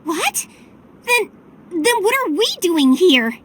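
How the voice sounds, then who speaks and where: A woman voicing a small, childlike female companion in a video game, earnest and outspoken, with emphatic, expressive delivery. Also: very high voice, slow, heavily acted. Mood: confused. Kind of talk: dramatic video game cutscene acting.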